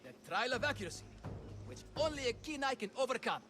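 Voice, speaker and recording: High-pitched flamboyant Greek showman. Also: very animated, fast, pushed and bright, a little hiss or hum, heavily acted. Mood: boastful pitching. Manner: grandiose showman delivery, flustered stammering when challenged. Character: flamboyant scheming Greek showman hawking monster trials. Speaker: carnival-style promoter. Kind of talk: theatrical video game acting. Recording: music behind the voice.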